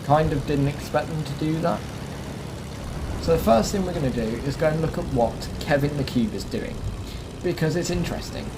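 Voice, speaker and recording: A man's voice: fairly high pitch, expressive, normal speed. A man giving casual gameplay commentary as the host of a gaming video. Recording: clear game noise behind the voice. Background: game ambience and vehicle noise.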